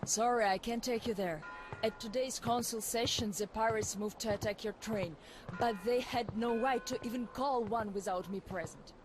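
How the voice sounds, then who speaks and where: An actress voicing a tough, practical young woman who leads a forest community and gives the hero quick directions. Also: fairly high pitch, steady tone, over music, lightly acted. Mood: brisk. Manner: brisk, practical, giving directions. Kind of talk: video game cutscene voice acting.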